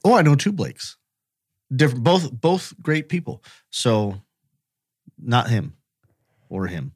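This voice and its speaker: A man's voice: mid-range voice, very animated, takes it slowly, breathy edge, no room sound, clean studio sound. A man co-hosting a true crime podcast, talking casually and conversationally. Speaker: podcast co-host.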